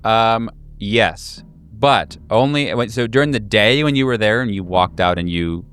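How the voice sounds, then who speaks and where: A man's voice: fairly low pitch, measured pace, a bit bright, clean tone, faint music behind. A man narrating a tabletop horror game as game master, in conversational improvised speech.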